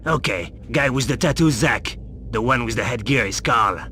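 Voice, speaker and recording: An actor playing a surly, tough street bouncer in a video game, gruff, impatient and slangy. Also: male voice, medium pitch, lively intonation, moderate pace, sharp tone, a little hiss or hum. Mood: irritated, tough. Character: surly, tough male street-fight bouncer, easily irritated. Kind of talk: video game cut-scene acting.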